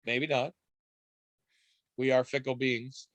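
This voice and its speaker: A man's voice: mid-range voice, flat delivery, slow, fairly bright tone, no echo. Man, podcast co-host, rambling wry chat, dry close mic. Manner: rambling, swears casually, wry asides. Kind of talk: casual chat.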